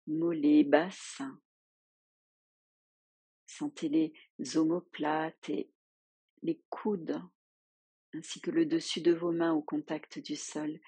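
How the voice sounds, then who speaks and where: A woman leading a guided yoga nidra relaxation as a teacher, giving soothing, unhurried instructions with long pauses. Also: sits low, a little mellow, breathy edge, no room sound.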